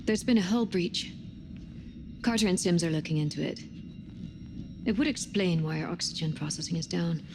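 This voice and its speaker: Actress as low-voiced, no-nonsense female engineer. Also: moderate pace, pauses often, natural voice. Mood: dry, level. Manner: dry, matter-of-fact. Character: matter-of-fact, level-headed female flight engineer. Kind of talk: cinematic video game acting. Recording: music behind the voice.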